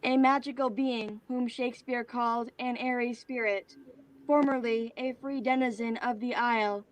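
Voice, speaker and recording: Woman playing an airy AI spirit: high voice, formal, precise, serenely superior, theatrical. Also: fairly even intonation, normal speed, close to an everyday voice, poor audio. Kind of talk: staged reading of a stage play.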